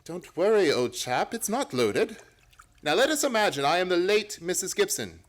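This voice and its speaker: Man playing a high, animated gentleman detective. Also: moderate pace. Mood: excited. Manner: theatrical, precise, formal explaining. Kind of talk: old-time radio play acting.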